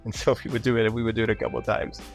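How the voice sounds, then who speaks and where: Low-voiced man chatting in an interview. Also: racing, faint music behind. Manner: animated, rambling with repeated words. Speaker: voice actor being interviewed. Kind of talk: casual interview chat with anecdotes.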